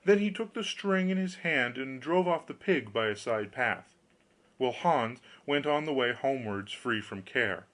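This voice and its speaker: Man narrating fairy tales, lively swooping pitch. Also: moderate pace. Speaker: audiobook narrator. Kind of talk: scripted fairy-tale narration.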